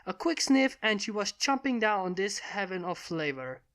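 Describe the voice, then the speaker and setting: A woman reading a fantasy story aloud as scripted, expressive narration. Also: medium pitch, normal speed. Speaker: fan fiction reader.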